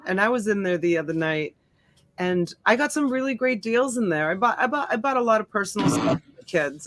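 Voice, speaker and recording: A woman's voice: mid-range voice, no room sound. A middle-aged woman whose first language is Italian, hosting a live-stream auction and chatting casually with viewers, greeting them by name with plenty of fillers. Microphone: USB desk mic.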